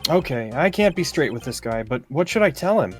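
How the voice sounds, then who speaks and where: A man voicing an earnest, uneasy young defense lawyer, reading video game dialogue in a measured, somber way. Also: mid-range voice, very animated, faint music behind, natural voice. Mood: cagey. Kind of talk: video game dialogue read aloud in character.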